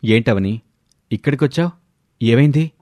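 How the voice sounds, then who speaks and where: A man playing a trusting, concerned young husband in an audio story, with a gentle, questioning manner. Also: medium pitch, expressive, moderate pace, dry recording, close to an everyday voice. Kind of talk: scripted audio story dialogue.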